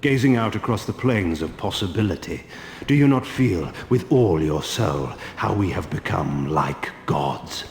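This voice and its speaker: An actor playing an ancient, cold, mocking vampire lord in a video game, speaking in slow, grandiose pronouncements. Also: male voice, sits low, expressive, darkish tone, heavily acted. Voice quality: gravelly, theatrical. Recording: roomy hall reverb, game score behind.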